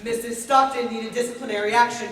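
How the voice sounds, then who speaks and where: A woman's voice: on the high side, steady tone, quick pace, close to an everyday voice. A woman on a school stage playing a stern, controlling asylum doctor with a formal, authoritative manner. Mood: stern, threatening. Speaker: student stage actor. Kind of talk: high school stage play acting. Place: large indoor stage, far mic. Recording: echoey stage recorded from far off.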